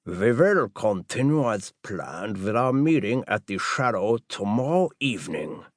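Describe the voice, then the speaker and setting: Audiobook conspirator doctor, played by a man: slow, formal, wary, deliberate. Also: fairly low pitch, sing-song, no room sound, clean studio sound. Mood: measured, formal. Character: measured, wary male doctor, a wealthy criminal conspirator.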